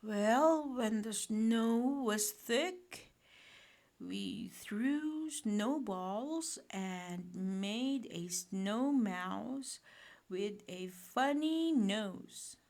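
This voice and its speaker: A woman voicing a kindly old grandfather mouse in a storybook, fond and unhurried as he reminisces. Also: on the high side, lively intonation, a little mellow, heavily acted. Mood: fond, reminiscing.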